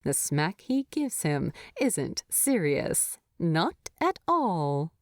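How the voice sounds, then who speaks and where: A woman narrating a story in an expressive, tender, unhurried way. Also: low voice, dry recording, studio quality. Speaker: fan fiction narrator.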